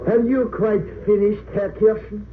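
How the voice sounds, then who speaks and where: A man's voice: high voice, flat delivery, measured pace. An actor in an old-time radio drama playing a stern, sneering German military judge, imperious and sarcastic as he questions and pronounces. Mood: stern, pronouncing. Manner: imperious, sarcastic, clipped interrogation. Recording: tinny narrow-band old radio recording, hissy.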